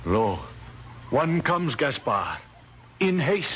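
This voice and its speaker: Anxious biblical traveller, dull old recording. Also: male voice, medium pitch, very animated, extremely slow. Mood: urgent. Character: anxious, speculating wise man travelling to Bethlehem. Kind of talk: old-time radio drama acting, archaic English. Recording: noisy, muffled old radio recording.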